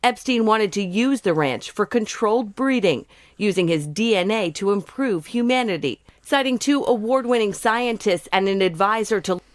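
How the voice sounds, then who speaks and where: A woman with a mid-pitched voice delivers a scripted news report. The recording is close and very dry, with no room sound. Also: lively intonation, normal speed, no room sound.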